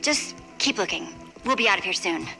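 An eager young game heroine. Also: female voice, mid-range voice, expressive, moderate pace, pushed and bright, low-quality recording. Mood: urging. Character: young adult female hero, determined. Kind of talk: game voice acting. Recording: game audio under music.

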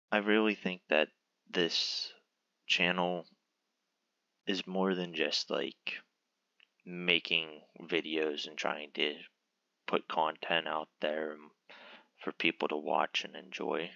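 A young man hosting his channel, explaining its dragon mascot in a relaxed, rambling way with lots of fillers. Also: a bass voice, creaky, no echo, muffled recording. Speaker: channel host. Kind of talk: casual talk to camera about a channel mascot.

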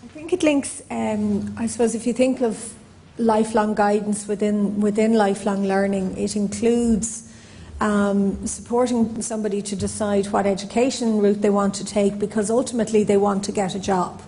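A middle-aged woman explains her view on a conference panel in a measured way, with informal asides. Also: medium pitch, fairly even intonation, warm tone, a little hiss or hum, rough recording. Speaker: panelist, guidance professional. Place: indoor conference hall stage.

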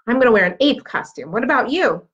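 A woman's voice: on the high side, expressive, fast talker, a bit bright, slightly rough. Woman acting young schoolboy, friendly, eager, storybook character, slightly muffled recording. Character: friendly, eager young schoolboy who likes sea creatures. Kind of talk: children's storybook read aloud.

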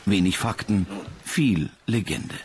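A man's voice: sits low, lively intonation, takes it slowly, very noisy. A man reading scripted documentary narration as the narrator. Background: music behind the voice.

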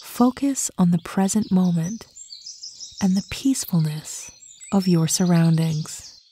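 Slow, mellow woman guiding meditation over birdsong. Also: lowish voice, slight background noise. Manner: calm, soothing, unhurried with long pauses. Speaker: guided meditation narrator. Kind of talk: guided meditation, slow scripted narration. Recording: birdsong and nature ambience behind. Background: birdsong, outdoor nature sounds.

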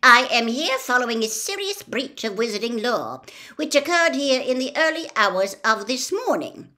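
A woman's voice: fairly high pitch, very animated, moderate pace, pushed and bright, pitched above the performer's own voice. A woman voicing a prim, indignant male wizard official in a storybook reading, tart and coldly formal.

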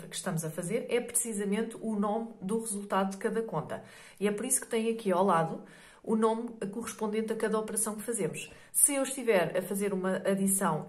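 Hoarse Portuguese woman teaching maths. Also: medium pitch, darkish tone, echoey room. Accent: European Portuguese. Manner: patient, explanatory, many fillers and checks like "ok?". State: hoarse from a cold. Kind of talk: explanatory maths lesson.

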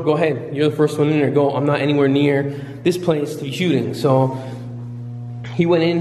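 A man's voice: on the high side, darkish tone. A man in his thirties from Canada, casually narrating his walk through an abandoned building to his viewers, rambling and chatty on a handheld camera mic. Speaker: urban explorer vlogger. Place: large empty abandoned building indoors. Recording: echoey empty room with faint hum.

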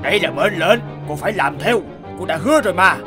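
A man voicing a calm, insistent enchanted frog in a cartoon fairy tale, measured, courteous and commanding. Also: high-pitched, over music.

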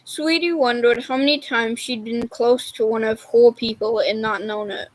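Dry, fairly high teenage girl's voice. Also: steady tone, normal speed, no echo, poor audio. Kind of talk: reading a picture book aloud. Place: indoors on a video call.

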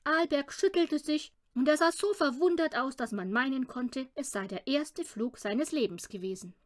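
High-pitched, lively woman narrator. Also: normal speed. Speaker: audiobook reader. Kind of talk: expressive children's audiobook narration.

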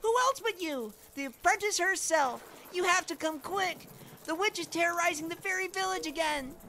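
An extremely high, squeaky little robot squire acted by a woman, loyal, excitable and easily flustered. He exclaims and stammers through an audio drama, with clear noise around his voice. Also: normal speed, heavily acted. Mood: excited, urgent.

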